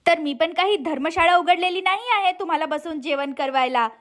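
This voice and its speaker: Cruel cartoon aunt, played by a female narrator: high voice, harsh, scolding, short-tempered. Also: fairly quick, a bit bright. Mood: angry, demanding. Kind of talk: cartoon moral story voice acting.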